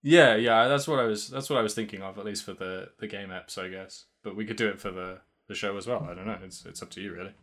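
A man's voice: on the low side, fast, few pauses, a bit bright. A man co-hosting a casual podcast, rambling loosely, laughing often and trailing off mid-sentence. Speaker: podcast co-host.

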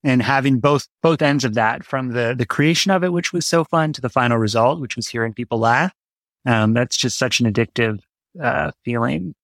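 A man's voice: mid-range voice, moderate pace, creaky, a bit bright, dry recording. A man speaking as an interview guest, a writer answering in a reflective, conversational way and searching for his words.

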